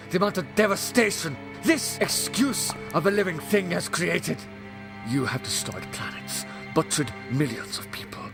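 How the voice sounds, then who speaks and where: Indignant alien hero , male actor, high voice, grave and declamatory, fan audio play with prominent music. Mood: anguished, outraged. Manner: impassioned, declamatory. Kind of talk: audio drama acting.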